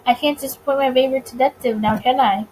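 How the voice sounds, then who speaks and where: A woman voicing a suave, confident gentleman bootlegger in a visual novel, with courtly, teasing phrasing. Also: high-pitched, fairly even intonation, quick pace, a little hiss or hum, lightly acted, poor audio. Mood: playful.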